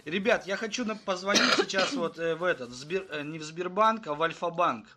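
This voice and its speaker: Higher-voiced man pitching his stream to viewers. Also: lively intonation, very noisy. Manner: brisk, addresses viewers directly, plugs sponsorship. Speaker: streamer and host. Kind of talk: casual live-stream chat.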